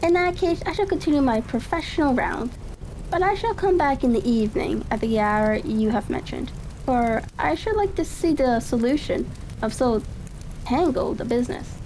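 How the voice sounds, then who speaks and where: Detective's curious gentleman sidekick read by a woman, polite storybook delivery, high soft tone, faint crackle behind. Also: lively intonation, moderate pace. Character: curious, courteous male companion of a detective.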